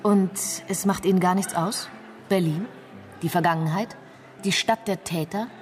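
An actress playing a small, provocative journalist who questions pointedly in a radio drama. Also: female voice, lowish voice, normal speed, darkish tone, close to an everyday voice. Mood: probing. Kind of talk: radio crime drama acting. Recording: faint music behind.

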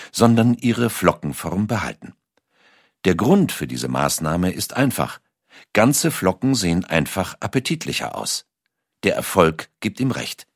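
A man's voice: deep voice, no echo, studio quality. A man reading scripted documentary narration as a narrator.

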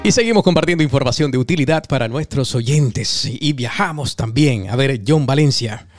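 A man's voice: medium pitch, expressive, moderate pace, soft background music. A man hosting a morning radio show, with an upbeat announcer delivery. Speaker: radio host.

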